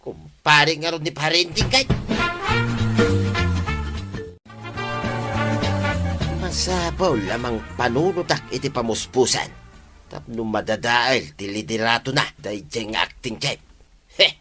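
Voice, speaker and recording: A man's voice: mid-range voice, expressive, normal speed, bright, forceful tone, soft background music. A man with an Ilocano Filipino accent playing a shifty, scheming villager in a comedy radio drama.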